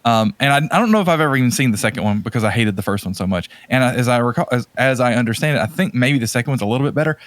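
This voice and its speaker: A man's voice: medium pitch, lively intonation, rapid-fire, few pauses, fairly bright tone, dry recording. Exasperated, emphatic man in casual podcast chat. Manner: exasperated, emphatic, repeats words for stress. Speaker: podcast co-host. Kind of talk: casual opinionated movie chat.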